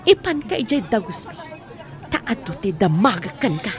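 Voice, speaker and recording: A woman's voice: mid-range voice, big pitch swings, moderate pace, some chatter behind, natural voice. An actress playing a threatening, violent woman who barks commands at her captive in a radio drama. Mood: commanding. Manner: commanding, menacing.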